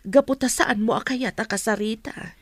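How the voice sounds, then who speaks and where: An actress playing a concerned middle-aged mother who gently cautions, in a radio drama with a Filipino accent. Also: a little high, normal speed, no room sound, natural voice. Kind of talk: Ilocano radio drama acting.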